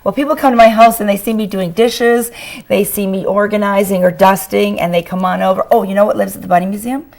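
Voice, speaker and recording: Canadian woman guest with a hoarse voice. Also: medium pitch, steady tone, quick pace, darkish tone. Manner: enthusiastic, chatty. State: losing her voice. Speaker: author guest. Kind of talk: talk show interview. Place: TV studio.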